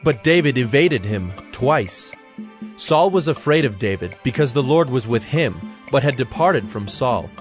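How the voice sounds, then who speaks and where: A man narrating a dramatized scripture story from a script, in a measured, solemn storytelling manner. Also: medium pitch, lively intonation. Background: background music.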